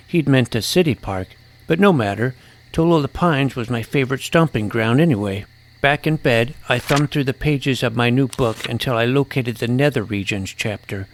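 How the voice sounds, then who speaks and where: A man's voice: mid-range voice, a bit bright. A man narrating a first-person scripted audio drama as its young protagonist, earnest and precise.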